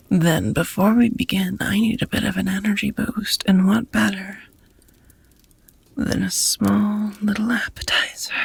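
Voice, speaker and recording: A woman playing a seductive, doting vampire roommate in an intimate ASMR romance roleplay, whispering softly with teasing, formal endearments. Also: medium pitch, dry recording, exaggerated voice. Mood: hungry, sultry. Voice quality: soft, breathy whispering. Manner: slow, sultry, formal wording with pet names.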